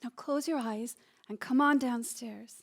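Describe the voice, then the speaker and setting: A woman's voice: a little high, unhurried. An actress playing a devoted, eager young wife and mother in an old-time radio drama. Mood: eager, excited.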